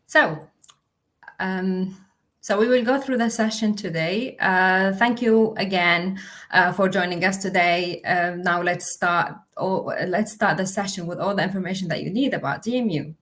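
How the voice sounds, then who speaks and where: A woman's voice: on the low side, a little slow, a bit bright. A young woman, a university officer, presenting a livestream with many fillers and restarts. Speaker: international officer.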